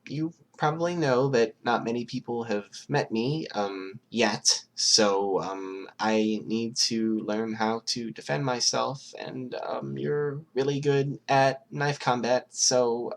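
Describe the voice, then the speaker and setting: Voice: medium pitch, steady tone, slow, hardly any pauses, pitched above the performer's own voice. A performer voicing an anxious, polite teenage boy, hesitant and earnest, in an amateur audiobook. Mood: nervous, awkward.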